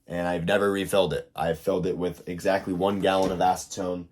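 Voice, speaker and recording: A young man with a very deep voice, audibly hoarse as he recovers from being sick. He talks loosely through a hands-on tutorial, filling gaps with ums and drifting into side remarks. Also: flat delivery, a little fast, heavy background noise. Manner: loose, rambling, lots of fillers. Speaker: RC hobby video host. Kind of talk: casual how-to tutorial.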